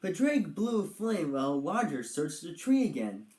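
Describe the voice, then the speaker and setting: A storybook narrator reading a scripted children's tale in an animated style. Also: medium pitch, normal speed, roomy sound.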